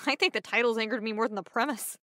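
A woman's voice: on the high side, fast, sharp tone, dry recording, clean studio sound. A woman playing a blunt, sassy, protective young friend in an audio drama. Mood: wry, indignant.

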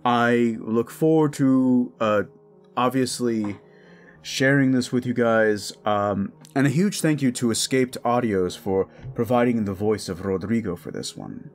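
A man's voice: medium pitch, unhurried, faint music behind. A man, an audio drama creator, casually and hesitantly thanking his listeners in an informal outro.

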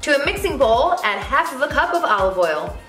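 Bright, high-voiced upbeat woman. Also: very animated, fairly quick. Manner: upbeat, chatty. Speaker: cooking show co-host. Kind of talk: casual recipe instructions and channel promotion. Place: home kitchen. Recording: echoey kitchen with faint music.

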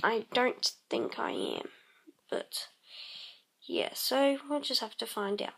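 Slow-talking croaky voice, high-pitched. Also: female voice, wildly swooping pitch. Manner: slow, rambling, many ums, hums tunes while playing. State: croaky, clearing throat. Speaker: let's play host. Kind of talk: casual gameplay commentary.

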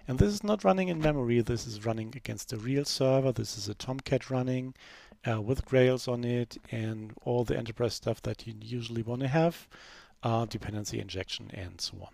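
A man narrating a screen-recorded software demo in a relaxed, unscripted way, full of fillers and restarts. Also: mid-range voice, moderate pace, creaky. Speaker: software developer giving a demo.

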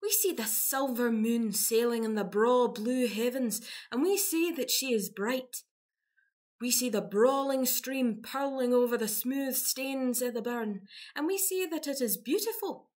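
A woman's voice: a little high, a little slow, darkish tone, close to an everyday voice. A woman playing a vain, guileless young village lass with a Scottish accent in a farcical radio play. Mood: self-admiring.

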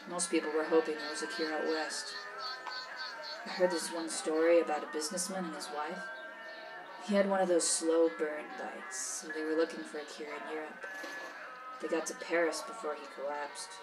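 A jaded woman smuggler acted by a woman tells her story in an audio drama interview. Her voice is low and worldly, matter-of-fact and cynical. She sounds a little far from the mic, with music clearly behind her. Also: close to an everyday voice. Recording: slightly distant, music behind.

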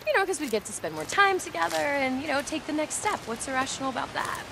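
An actress playing a warm, exasperated young scientist girlfriend in a video game, with an American accent and natural delivery. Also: sits high, lively intonation, fast, gravelly, a little hiss or hum, heavily acted. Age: young adult. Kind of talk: naturalistic game cutscene acting.

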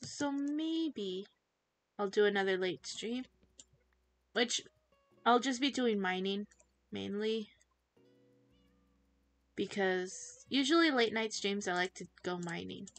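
A woman's voice: mid-range voice, faint music behind. Giggly teen girl streaming a game. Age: teens. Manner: chatty, giggly, self-distracted, quick to laugh. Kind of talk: casual livestream chat while gaming.

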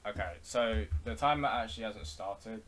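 A man's voice: fairly low pitch, moderate pace, fairly bright tone, a little hiss or hum. A man giving casual speedrun commentary as a game streamer, talking half to himself. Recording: music behind, poor TV-audio mic setup. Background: music.

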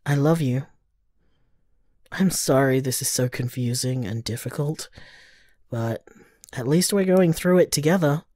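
A woman playing a gentle, supportive partner in an audio drama, speaking tenderly and reassuringly. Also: very deep voice, unhurried, pauses often, no echo, studio quality. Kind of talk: audio drama, intimate voicemail-like monologue.

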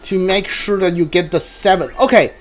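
A man giving casual live commentary on a game, thinking aloud in a hesitant, halting way. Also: high voice, a little hiss or hum. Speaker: game streamer.

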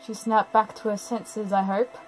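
A woman's voice: medium pitch, little pitch movement, normal speed. A woman giving casual live reaction commentary on a cartoon, gushing and sentimental, with frequent small exclamations. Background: music from the cartoon being watched.

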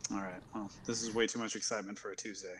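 A man roleplaying a commander in a tabletop game, understated and halting, with calm authority. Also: lowish voice, a little hiss or hum. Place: indoors at home on a video call.

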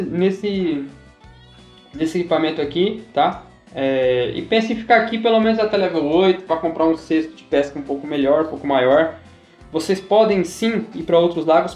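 A man's voice: on the high side, a little mellow. A man giving casual fishing game tips as a YouTuber, chatty and repetitive, often checking in with his viewers. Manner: chatty, repeats himself, says "galera" and "tá?" often. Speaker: gaming YouTuber. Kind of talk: casual gameplay tips commentary. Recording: roomy echo.

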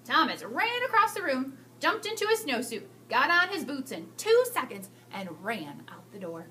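High, bright, animated woman reading aloud. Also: a little hiss or hum. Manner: expressive, drawn-out emphasis for young listeners. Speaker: school staff storyteller reading to children. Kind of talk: animated children's read-aloud.

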